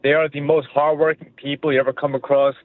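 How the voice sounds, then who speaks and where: Young guy from pakistan on the phone, fast and chatty, tinny line, keeps repeating himself. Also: on the high side, flat delivery, no echo. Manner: casual, repeats himself, confident. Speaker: boxer guest. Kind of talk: phone interview. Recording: phone-like narrow line, dry.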